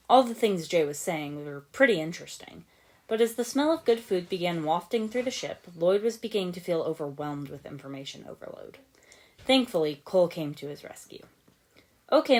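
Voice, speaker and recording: A woman's voice: sits low, a little mellow. A woman reading fan fiction aloud as a scripted audiobook narration. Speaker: podfic narrator.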